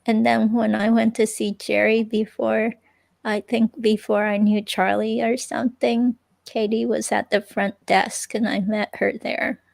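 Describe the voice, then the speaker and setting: A woman reading the part of an evasive, unsure ex-girlfriend in a police interview, with a creaky, hesitant, rambling delivery. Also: a little high, flat delivery, a little slow, a little mellow. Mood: hesitant, vague. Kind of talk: dramatic reading of an interview transcript, conversational.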